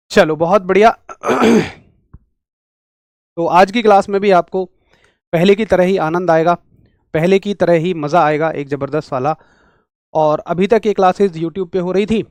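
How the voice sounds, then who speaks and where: High-voiced guy teaching online, rural hindi accent, sore throat, dry close mic. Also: no room sound. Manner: energetic, chatty, talks straight to students. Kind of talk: online physics class.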